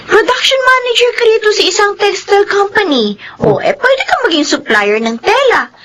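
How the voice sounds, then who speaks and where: An actress in a Filipino radio drama playing an eager, caring older sister and devoted daughter with expressive delivery. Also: female voice, extremely high pitch, moderate pace. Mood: impatient, coaxing.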